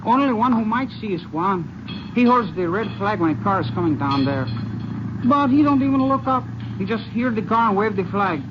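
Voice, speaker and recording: An actor playing a humble, talkative Mexican road worker with a Mexican accent and simple broken English in a radio western. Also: male voice, sits high, animated, normal speed. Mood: amiable complaining. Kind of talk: 1950s radio western character acting. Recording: muffled old radio recording, clear noise.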